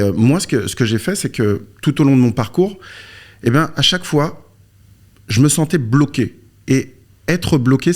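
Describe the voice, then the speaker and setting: A man talks as a guest coach in an interview, with a mid-pitched voice. He explains things informally, often asking a question and answering it himself. His delivery is conversational, like someone telling his own story. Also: a little mellow. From born in Morocco, moved to France aged three or four. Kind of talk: conversational explanation with personal anecdotes.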